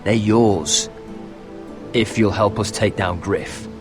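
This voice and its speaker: An actor playing a confident, forthright young lordling who commands guards in a fantasy video game. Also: male voice, on the low side, slow. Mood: confident, persuasive. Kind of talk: video game dramatic acting. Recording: music behind the voice.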